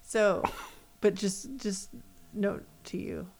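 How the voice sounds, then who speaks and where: Animated American woman with a creaky voice. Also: mid-range voice, takes it slowly. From US West Coast. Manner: animated storyteller, acts out quoted remarks. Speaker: co-host. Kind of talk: casual chat, telling personal stories.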